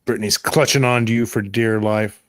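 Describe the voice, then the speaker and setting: A man's voice: on the low side, steady tone, moderate pace, no room sound. A man narrating an improvised tabletop roleplaying game as game master.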